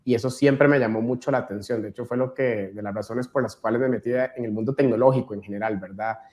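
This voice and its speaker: A man's voice: medium pitch, steady tone, quick pace, a little mellow. A man from Costa Rica with a Costa Rican Spanish accent, talking enthusiastically as a podcast guest. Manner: enthusiastic, uses tag "¿verdad?". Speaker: podcast guest, chapter leader. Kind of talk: casual conversation.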